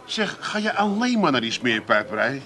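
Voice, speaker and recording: An actor playing an exasperated, worldly-wise Amsterdam son in a comic radio play, talking with dry incredulity. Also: male voice, medium pitch, big pitch swings, normal speed, bright, forceful tone. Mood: lecturing.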